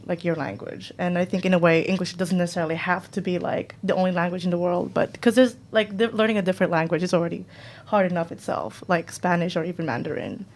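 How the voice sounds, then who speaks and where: A woman's voice: lowish voice, darkish tone. A woman from the Philippines on a radio panel, chatting casually with lots of "like". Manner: casual, many likes. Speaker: student guest.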